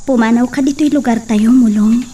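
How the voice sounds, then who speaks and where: A young woman with an Ilocano accent playing a gentle, hopeful village sweetheart. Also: fairly high pitch, fairly even intonation, normal speed, husky. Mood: pleading. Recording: distant mic with faint noise. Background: crickets and insects.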